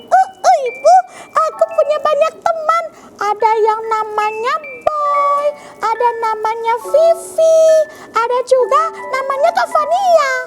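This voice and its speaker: A woman voicing a brave, excitable little boy rabbit with eager, childlike exclamations in a children's story. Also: extremely high pitch, takes it slowly, loud music behind the voice, voice pushed up. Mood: excited, proud.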